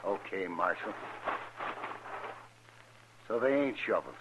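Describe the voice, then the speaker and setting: A nervous, shifty wagon driver who protests in rustic American speech with a very animated, swinging pitch. It is played in old radio western style. The recording is dull, with plenty of noise. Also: male voice, slow. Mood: defensive, wheedling. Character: nervous, evasive frontier wagon driver caught smuggling rifles. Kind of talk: 1950s radio western acting.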